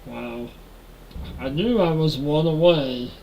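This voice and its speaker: Slow-talking nasal man in his forties. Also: on the high side, vocal fry, muffled recording, a little hiss or hum. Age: 40s. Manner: relaxed, talks to chat while playing. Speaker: live-stream gamer. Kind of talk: casual live-stream chat while gaming. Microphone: headset.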